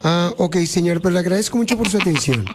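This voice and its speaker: A man's voice: sits high, fast talker. A man hosting a radio prank call, putting on a stiff official tone with a straight face. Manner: feigns a stiff official tone, deadpan.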